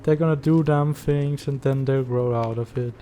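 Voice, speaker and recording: Man playing a mellow, sardonic teenage schoolboy Oz. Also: medium pitch, steady tone, moderate pace, a little hiss or hum, close to an everyday voice. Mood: concerned, challenging. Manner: dry, sarcastic. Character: snarky, laid-back teenage schoolboy Oz, skeptical but caring. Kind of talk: fan dub comic drama acting.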